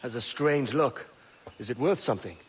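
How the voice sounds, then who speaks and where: A man's voice: medium pitch, moderate pace, slight background noise, natural voice. A man playing a roguish, money-minded young pirate captain in a video game, flippant, colloquial and swaggering. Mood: curious, doubtful. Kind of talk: dramatic video game acting.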